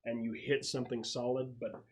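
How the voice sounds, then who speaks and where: A man's voice: mid-range voice, level tone, a little fast. A man running a tabletop roleplaying game as game master, casually narrating rules and action. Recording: noisy home recording with clicks.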